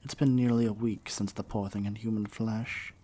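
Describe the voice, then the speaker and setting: A man's voice: on the low side, flat delivery, moderate pace, warm tone, lightly acted. A man acting as a haughty, menacing evil sorcerer king in an ASMR roleplay, speaking in a pompous, theatrical and condescending way. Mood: irritated, cruel.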